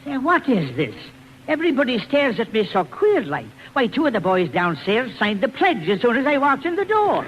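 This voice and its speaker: A man's voice: very high voice, huge pitch swings, moderate pace, fairly bright tone, lo-fi sound, exaggerated voice. An actor playing a chummy, easily flustered man with a folksy, exclamatory manner in a 1940s radio comedy. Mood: puzzled. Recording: noisy 1940s radio broadcast.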